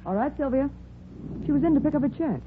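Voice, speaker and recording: A high-voiced, busy elevator starter. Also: female voice, expressive, fast. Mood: efficient. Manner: sing-out calls, crisp and efficient. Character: brisk, busy female chief elevator starter. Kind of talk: 1940s radio acting. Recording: tinny, noisy 1940s radio recording.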